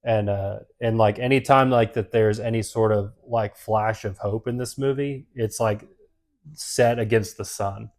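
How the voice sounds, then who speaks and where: A man's voice: lowish voice, fairly even intonation, a little slow, a little mellow. A man co-hosting a casual film podcast, rambling through his points and leaning on "like" a lot. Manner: rambling, leans hard on "like". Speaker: podcast co-host. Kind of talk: casual movie discussion.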